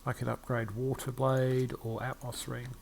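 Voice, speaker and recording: An older man plays a game and comments as he goes. His mid-pitched voice has a gravelly creak. He reads out and muses haltingly. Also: little pitch movement, slight background noise. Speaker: gamer playing and narrating a game. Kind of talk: let's-play commentary, reading text aloud and musing.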